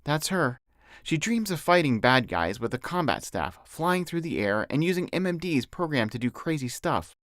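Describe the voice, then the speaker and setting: Guy doing a kind 12 yr old boy in an audiobook, casual and a bit hesitant, lifted pitch, lively. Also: normal speed, no echo, clean studio sound. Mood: casual, matter-of-fact. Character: twelve-year-old boy, kind, thoughtful son.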